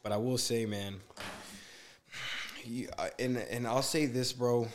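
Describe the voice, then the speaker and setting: A man's voice: mid-range voice, fairly even intonation, a little slow, a little hiss or hum. A man in his twenties, a guest on a casual podcast, talking in a laid-back, slangy way and growing emphatic when he makes a point. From USA. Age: 20s.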